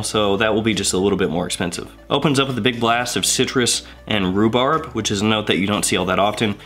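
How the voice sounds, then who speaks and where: A man in his thirties with a head cold, chatting through a casual video review and rattling off quick lists. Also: on the low side, a bit bright. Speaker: fragrance reviewer. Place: indoor room with shelves of bottles.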